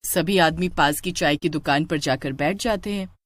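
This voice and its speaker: A woman's voice: sits low, moderate pace, no echo, studio quality. A woman telling a story as a dramatic scripted narration.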